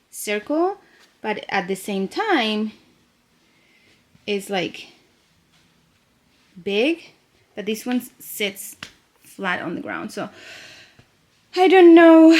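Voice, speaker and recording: A young woman from Chile, a native Spanish speaker, chatting casually at home about her knitting and crochet designs and thinking aloud. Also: medium pitch. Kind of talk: casual vlog chat.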